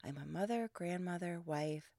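A woman podcast host from the Chicago suburbs, grandmother age, chatting casually in a froggy early-morning voice, not fully awake, rambling with fillers and soft breathy trailing words. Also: lowish voice, normal speed, dry recording, clean studio sound. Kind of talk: casual solo podcast monologue.

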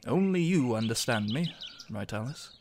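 An actor playing a pompous, insecure nerdy scientist in a science fiction audio drama. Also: male voice, mid-range voice, expressive, normal speed, background noise, lightly acted. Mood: soft, lonely. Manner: pompous, self-doubting. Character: conceited, insecure nerdy scientist on a space crew.

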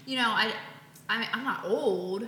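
A woman's voice: fairly high pitch, a little slow, bright, forceful tone. A woman in her late thirties, chatting casually in an interview, full of fillers and restarts. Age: 30s. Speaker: interviewee, community volunteer. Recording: roomy echo.

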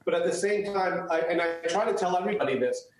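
A man's voice: sits high, quick pace, heavy reverb, poor audio. A man, born in the US and raised speaking Chinese first, answering interview questions earnestly with many restarts. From United States. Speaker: guest, photographer.

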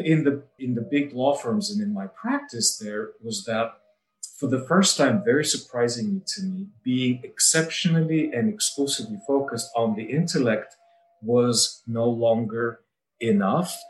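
A middle-aged man from Bulgaria, a lawyer, giving a measured and articulate personal account. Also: medium pitch. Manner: measured and articulate, occasional light laughs. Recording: roomy echo, mic at a distance.

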